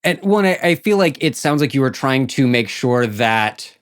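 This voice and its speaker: A man's voice: mid-range voice, expressive, normal speed, smooth. A man hosting a podcast in a conversational, effusive and appreciative way. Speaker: podcast host.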